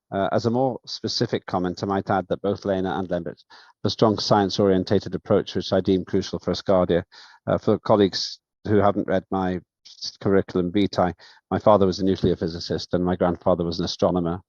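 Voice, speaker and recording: A man chairing a parliamentary session over a video call, speaking in a measured, halting way with many fillers. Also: low-pitched, a little mellow, no echo. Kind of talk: formal remarks at an online session. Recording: dull, dry lo-fi sound.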